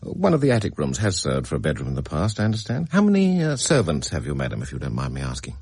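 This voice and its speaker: A fussy, evasive estate agent. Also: male voice, very deep voice, wildly swooping pitch, fast, darkish tone, husky, no room sound, studio quality, heavily acted. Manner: hesitant, deferential, hedging with ums. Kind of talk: radio drama acting.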